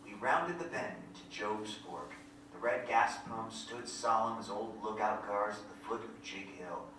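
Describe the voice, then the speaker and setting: A man's voice: on the low side, unhurried, lightly acted. An actor playing an earnest country boy who narrates his memories in a lyrical, reflective, folksy way at a staged reading. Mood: wistful. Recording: echoey hall, distant mic, faint noise.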